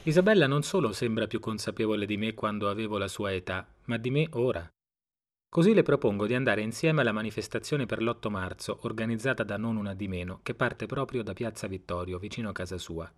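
A man's voice: fairly low pitch, a little fast. A middle-aged man reading scripted first-person narration in a reflective, wry manner. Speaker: narrator and author of an audio series. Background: guitar music.

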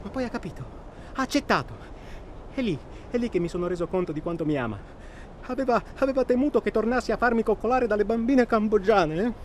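A self-absorbed, articulate traveller in a radio drama, his voice slightly high. He talks bookishly and insistently, as if he must confide everything. Noticeable outdoor ambience sits behind him. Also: lively intonation, fast talker, darkish tone. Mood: agitated, confessing. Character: well-spoken, self-absorbed male hunting traveller, obsessively confiding. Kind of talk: naturalistic radio drama dialogue. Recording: clear ambient noise behind.

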